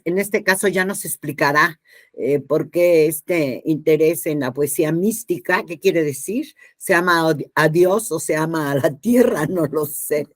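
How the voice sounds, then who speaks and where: Older mexican lady hosting a podcast interview, deep voice for a woman, dry close sound, rambles informally. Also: no room sound.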